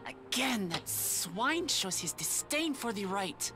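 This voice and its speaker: A woman's voice: medium pitch, very animated, takes it slowly, music in the background. An actress playing a proud, hot-tempered young lion-woman warrior in a video game, forthright and emphatic. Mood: scornful. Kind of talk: scripted video game cutscene acting.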